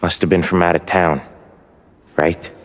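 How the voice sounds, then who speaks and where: A man playing a cold, intimidating mob enforcer in a video game drama, speaking with deliberate menace. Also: low-pitched, little pitch movement. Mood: threatening.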